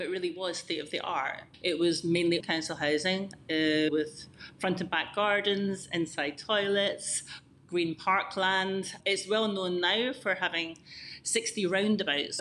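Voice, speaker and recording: A Scottish woman in her fifties with a fairly low voice tells her story with reflective, unhurried detail. She speaks over a remote connection, with a faint hiss beneath her words. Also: fairly bright tone. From Scotland. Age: 50s. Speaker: podcast guest. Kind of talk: conversational interview storytelling.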